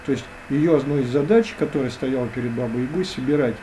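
A man talking as a guest in an interview, hesitant and full of drawn-out fillers. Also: mid-range voice, background noise. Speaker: guest expert. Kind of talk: conversational interview.

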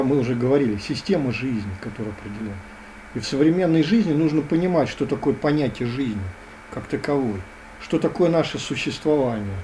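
A man's voice: medium pitch, warm tone, slight background noise, rough recording. A man speaking as a guest in a philosophical interview, earnest and lecturing, often circling back to the same phrases.

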